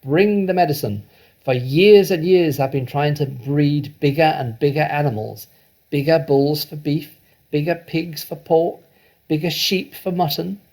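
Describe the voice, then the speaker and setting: A man voicing a small, excitable father who gets worked up and shouts in a storybook reading. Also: fairly high pitch, measured pace. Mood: eager shouting. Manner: shouting, frantic exclamations.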